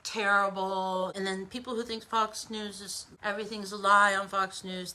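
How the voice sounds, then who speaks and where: A woman's voice: mid-range voice, pushed and bright, rough recording. An American woman giving an informal opinion monologue as an online commentator, emphatic and conversational, with rhetorical asides.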